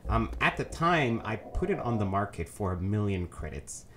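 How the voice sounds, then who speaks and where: Man with a cold, nasal, low voice, hosting a casual let's play with loose, rambling delivery over a faint noise floor. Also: very animated, normal speed, a bit bright. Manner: rambling, many ums and self-corrections. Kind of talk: casual gameplay commentary.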